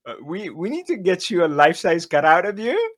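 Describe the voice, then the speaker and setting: A man's voice: sits high, sing-song, brisk, fairly bright tone. A man hosting a casual interview, enthusiastic and encouraging toward his guest. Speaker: podcast host.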